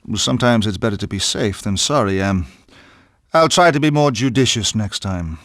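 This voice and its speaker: An actor playing a suave, wry British secret agent with a dry, teasing manner in a video game. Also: male voice, on the low side, lively intonation, moderate pace, a bit bright, no echo.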